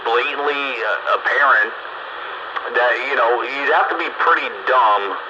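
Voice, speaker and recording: A man's voice: medium pitch, a little slow. A man chatting casually about politics in a blunt, salty way. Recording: muffled, noisy radio-style audio.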